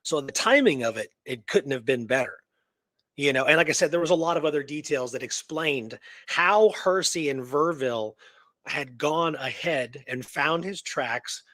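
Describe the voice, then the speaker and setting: A man's voice: a little high, moderate pace, pushed and bright, dry recording. Engrossed man telling history, close dry sound. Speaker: radio show host telling a true story. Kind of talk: casual historical storytelling.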